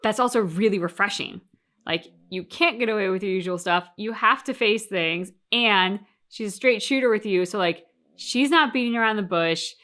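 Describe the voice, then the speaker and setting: Woman reaction co-host, bright forceful voice, chatting casually and making her points with emphasis. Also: medium pitch, moderate pace.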